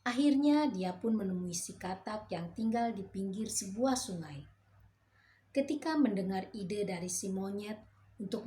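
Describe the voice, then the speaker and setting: A woman storyteller reading a fairy tale to children in a gentle, motherly way. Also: mid-range voice, a little hiss or hum.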